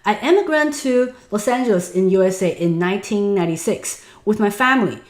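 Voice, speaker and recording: A woman's voice: medium pitch, a little fast, reverberant. A woman in her thirties from Taiwan, native Mandarin speaker with a Taiwanese accent, earnestly presenting a webinar on online selling and asking viewers to respond in the chat. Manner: animated, earnest explaining, asks audience to type in chat. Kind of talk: online business webinar talk.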